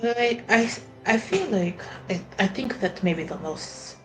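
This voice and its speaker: A woman's voice: a little high, expressive, slow pace. A woman playing a tabletop roleplaying game, improvising dialogue with hesitant, careful phrasing. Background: music behind the voice.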